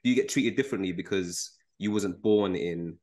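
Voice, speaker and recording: A young man with a London accent, chatting casually as a podcast host, quick and full of restarts and fillers. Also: deep voice, darkish tone. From England. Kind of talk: casual chat.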